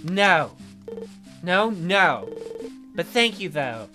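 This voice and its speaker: A man plays an uneasy young woman from a visual novel in a high, bright voice. She is polite but hesitant and guarded, with faint music underneath. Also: very animated, very slow, heavily acted.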